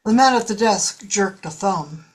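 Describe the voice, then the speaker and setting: A woman's voice: medium pitch, animated, unhurried. A woman narrating a science fiction story in a scripted, audiobook style.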